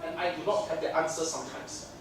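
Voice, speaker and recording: A man's voice: fairly high pitch, completely flat delivery, quick pace. A man from Singapore with a Singaporean English accent giving a conference lecture on dentistry, chatty and joking with lots of fillers, jet lagged. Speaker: dental lecturer. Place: large lecture hall. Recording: echoey hall mic from far off, audience noise.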